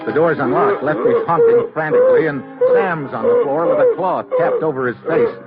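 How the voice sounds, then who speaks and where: An actor playing a hard-boiled, skeptical investigator, wry and terse, in the style of an old-time radio detective drama. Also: male voice, extremely high pitch, wildly swooping pitch, moderate pace, exaggerated voice. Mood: tense narration. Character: hard-boiled, skeptical male investigator narrating his own case. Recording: dull old radio recording, loud music.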